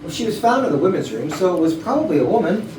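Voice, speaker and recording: Man acting animated suspicious colleague, echoey room. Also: high-pitched, fast. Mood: suspicious, insistent. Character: blunt, suspicious male office colleague. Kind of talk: staged reading of a comedy play. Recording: echoey, distant, noisy room recording.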